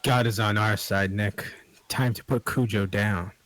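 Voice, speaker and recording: A man reading the part of a rugged, devout monster hunter in his thirties, calm and a little preachy. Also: on the low side, a little slow, no room sound.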